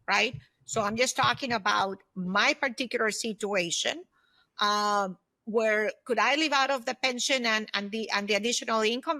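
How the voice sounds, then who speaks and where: Bright, higher-pitched woman giving practical advice. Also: no echo, low-quality recording. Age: 50s. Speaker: podcast guest, former aerospace executive. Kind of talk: conversational interview, giving advice.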